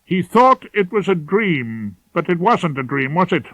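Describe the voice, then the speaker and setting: A man's voice: high voice, sing-song, a little slow, a bit bright, no room sound, pitched above the performer's own voice, full character voice. A man playing a wry, dogged old male defence barrister in an audiobook, theatrical and insistent in manner. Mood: insistent, accusing. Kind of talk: audiobook character dialogue.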